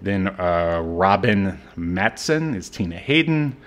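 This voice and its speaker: A man's voice: low-pitched, big pitch swings, slow. A man co-hosting a podcast in casual chat, laid-back and hedging with frequent fillers. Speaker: podcast co-host.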